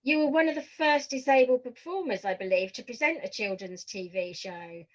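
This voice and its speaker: A woman's voice: a little high, normal speed, low-quality recording. A woman hosting a podcast, asking questions in a conversational interviewing style.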